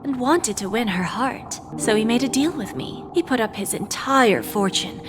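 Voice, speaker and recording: An actress playing a calm, calculating female pawnbroker in a video game, speaking softly in a measured, polite and quietly menacing way. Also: fairly high pitch, background noise, lightly acted. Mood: coolly ominous. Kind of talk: scripted video game dialogue. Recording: game audio with music behind.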